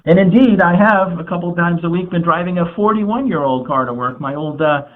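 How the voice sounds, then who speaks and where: A man joining by phone as a guest, talking in a measured, informative way. His voice sits a little high for a man, and the tinny line squeezes it thin. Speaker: automotive journalist guest. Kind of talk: interview answers.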